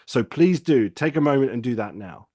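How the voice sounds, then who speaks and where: A man's voice: mid-range voice, expressive, normal speed, mellow, breathy edge, dry recording, clean studio sound. A man hosting an interview show, introducing it and addressing viewers and guest.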